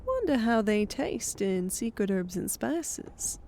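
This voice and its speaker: Woman playing a slow, cool female sniper. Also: a little high, very animated, a little mellow, exaggerated voice. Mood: wry. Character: cool, wry female sniper in military fantasy.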